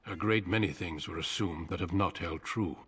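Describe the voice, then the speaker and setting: A serious game commander guy, low husky voice, formal and measured. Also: fairly bright tone. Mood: grave, instructing. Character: grave, authoritative commander of warrior order. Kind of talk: video game voice acting.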